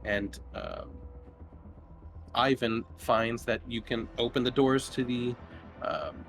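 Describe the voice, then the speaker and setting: A man narrates a tabletop horror game as game master. His voice sits at a middling pitch, and he builds descriptions haltingly, with pauses. Music plays behind him. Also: animated, vocal fry, a bit bright.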